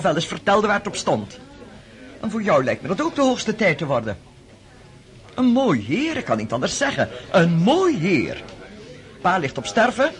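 An actor in a radio drama playing a blunt, imperious society dame who teases and scolds. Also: male voice, fairly high pitch, a bit bright.

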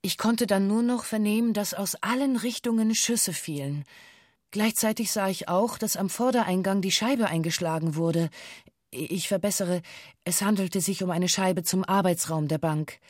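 An actress playing a former bank hostage who gives a formal, precise witness statement in a sober manner for a documentary radio drama. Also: female voice, medium pitch, normal speed, darkish tone, no room sound, studio quality, natural voice. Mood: sober recounting. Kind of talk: documentary radio drama, reading a police testimony.

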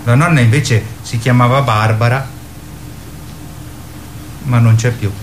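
An actor playing a timid, simple-minded boy in a radio drama, halting, stammering and literal. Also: mid-range voice, fairly even intonation, a little hiss or hum, lightly acted, low-quality recording. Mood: earnest. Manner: halting, stammering, repeats himself.

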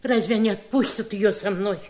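An actress playing a humble, loyal maid in a radio play, speaking deferentially and hesitantly. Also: female voice, mid-range voice, fairly quick, darkish tone, natural voice. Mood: humble, worried. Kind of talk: radio play acting in Russian.

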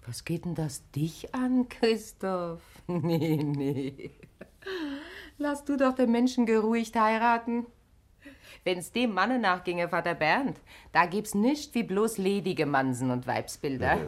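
Slow, low-voiced motherly wife. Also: expressive. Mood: gently chiding. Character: kindly, frank wife in a wheelchair, middle-aged. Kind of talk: German radio drama acting.

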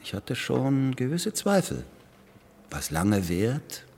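An actor playing a pompous, condescending boss in a radio drama, speaking deliberately with patronising pauses and a husky voice. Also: male voice, medium pitch, sing-song, darkish tone, exaggerated voice. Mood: cool disappointment. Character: condescending male client, pompous, bluntly disappointed boss.

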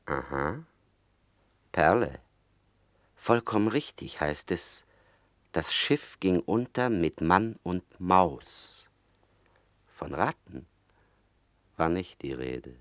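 A bookish, reflective male rat in a radio drama. The voice is deep and dry in sound, with a deliberate, wry, mock-learned delivery. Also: animated, no echo. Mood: wry, pompous. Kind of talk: German radio play acting.

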